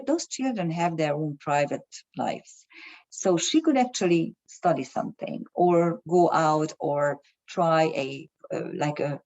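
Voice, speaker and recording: A woman speaks in a low, gravelly voice, pausing often with fillers and restarting her sentences. She talks casually to her viewers as an astrologer. The recording sounds a little dull and lo-fi. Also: a little mellow. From Germany. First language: Hungarian. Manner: hesitant, many fillers and restarts. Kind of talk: casual talk to camera.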